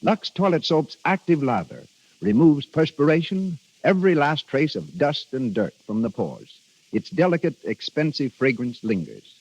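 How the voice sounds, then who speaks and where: A man's voice: a little high, very animated, normal speed, a little mellow, husky. A man announcing on 1930s radio, reading scripted narration and advertising copy in a salesman's style. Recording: dull old radio broadcast.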